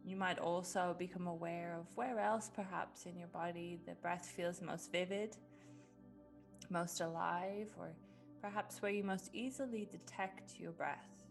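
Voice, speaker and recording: A woman leading a guided meditation in a gentle, unhurried way with long pauses. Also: on the low side, fairly even intonation, vocal fry. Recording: music behind the voice. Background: background music.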